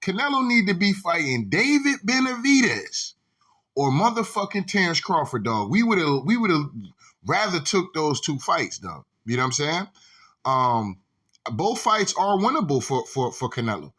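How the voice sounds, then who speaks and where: A man's voice: on the high side, big pitch swings, normal speed, fairly bright tone. A man hosting his own commentary video, ranting casually with profanity and lots of repetition. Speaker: video host.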